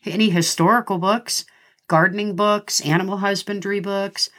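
A middle-aged woman hosting her podcast, a little nasal and congested from a cold, talking in a friendly way and reading out lists with pauses between the items. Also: mid-range voice, steady tone, a bit bright. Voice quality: slightly nasal. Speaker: podcast host. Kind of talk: scripted podcast monologue.

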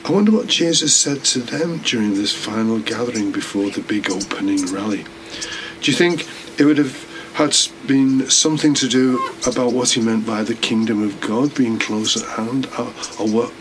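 Guy reading out a scripted sermon type reflection, medium pitch voice, noticeable background noise. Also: moderate pace. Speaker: podcast host giving a religious reflection.

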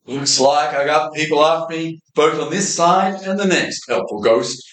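An actor playing a weary, wisecracking detective in an amateur old-time radio drama. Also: male voice, fairly high pitch, normal speed, a bit bright, lightly acted. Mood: weary, wry. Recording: roomy, echoey amateur recording.

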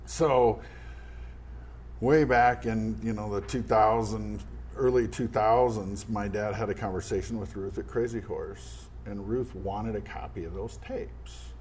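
Mellow low-voiced man telling family history. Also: fairly even intonation, unhurried, slight background noise. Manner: thoughtful, earnest, with frequent restarts. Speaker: interview guest. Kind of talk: conversational storytelling.

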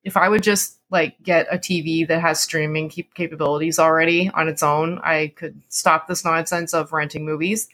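A woman's voice: deep voice, steady tone, moderate pace, hardly any pauses, a bit bright. A woman hosting a podcast, moving between dramatic story narration and casual chat. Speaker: podcast host and story reader.

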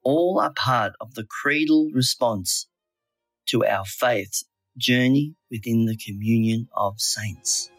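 Man, slow-paced, earnest, measured, spiritual reflection, dry recording, soft music behind. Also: medium pitch, no echo, poor audio. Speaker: lay reflection speaker. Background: background music.

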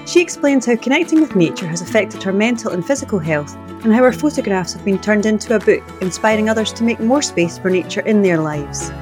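A woman's voice: mid-range voice, lively intonation, brisk, few pauses. Podcast host woman over a music bed. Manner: friendly, clear, reading an introduction. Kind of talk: scripted intro and interview questions.

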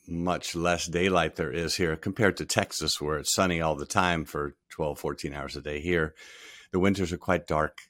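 Deep-voiced older man telling stories. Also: steady tone, normal speed, a bit bright, no echo, studio quality. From Rhode Island. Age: 60s. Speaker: podcast guest. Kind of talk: casual interview.

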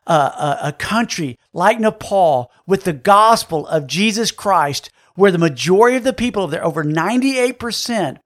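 A man hosts an interview with enthusiastic, preacher-like emphasis. His voice sits a little higher than most men's. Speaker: interview host. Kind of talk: faith interview hosting.

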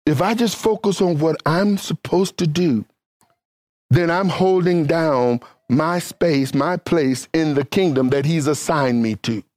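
A man's voice: on the high side, a little slow, darkish tone, no room sound, studio quality. A man preaching a sermon with a fervent, rising and falling cadence and casual, conversational phrasing.

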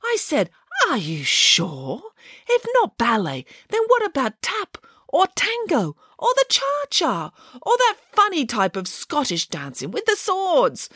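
A woman's voice: high-pitched, huge pitch swings, measured pace, fairly bright tone, no echo, studio quality, voice pushed up, exaggerated voice. A woman doing a weepy, melodramatic young bear. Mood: dramatic. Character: emotional, theatrical young male bear actor.

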